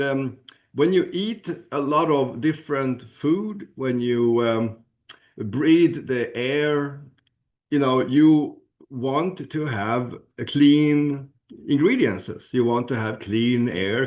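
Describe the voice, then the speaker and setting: A man from Sweden with a Swedish accent gives an informal promotional presentation, haltingly searching for words and clearing his throat. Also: medium pitch. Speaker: presenter at a business opportunity webinar.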